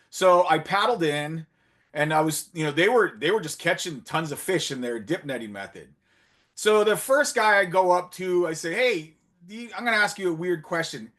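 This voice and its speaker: A man giving a talk at a conference, speaking in a slightly high voice. He talks loosely, with lots of fillers and self-corrections, and gets animated when he retells his fieldwork stories. The tone is easy and conversational rather than formal. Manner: conversational, many fillers and restarts. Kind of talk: presentation with slides, storytelling.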